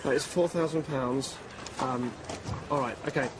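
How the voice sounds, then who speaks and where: A man presenting a television show, calmly narrating and explaining things in a measured way. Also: mid-range voice, very noisy. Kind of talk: scripted narration and on-location talk. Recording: music playing under the voice.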